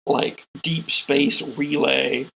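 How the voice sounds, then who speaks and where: Gravelly man narrating as game master. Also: medium pitch, fairly even intonation, very slow, fairly bright tone, low-quality recording. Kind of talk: improvised tabletop game narration.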